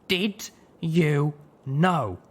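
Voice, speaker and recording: A man's voice: a little high, animated, very slow, slightly rough, pitched above the performer's own voice, heavily acted. A man voicing a furious young pony mare heroine, raging and threatening with righteous menace in an audio story. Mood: cold rage. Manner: raging shouts and hissed, growled threats.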